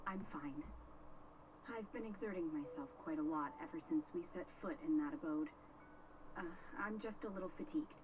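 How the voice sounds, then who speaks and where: An actress playing a reserved, solitary young woman in a video game, calm, detached and formal. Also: low-pitched, moderate pace, lo-fi sound, background noise, close to an everyday voice. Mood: weary, reserved. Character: reserved, solitary young female adept disciple, stoic.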